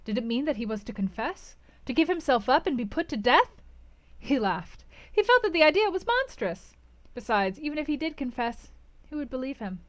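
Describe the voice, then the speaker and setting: A woman reading a classic novel aloud as a book club host, with theatrical emphasis, dramatic pauses and swings from hushed to intense. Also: high voice, very animated.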